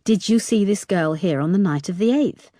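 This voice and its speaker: A woman's voice: mid-range voice, animated, moderate pace, mellow, no echo, clean studio sound, voice pushed up, natural voice. A woman reading aloud, voicing a persistent woman police detective who questions firmly.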